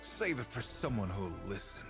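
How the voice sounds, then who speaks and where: A man voicing a weary, dismissive lone-wolf swordsman in a video game, with a gravelly, defiant tone. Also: fairly low pitch, very animated, moderate pace, a bit bright, faint music behind.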